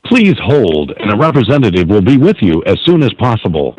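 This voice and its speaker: Lively scripted male phone-line announcer. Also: fairly low pitch, fairly quick, soft background music. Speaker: automated phone system announcer. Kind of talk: scripted phone menu and hold messages. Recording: telephone line.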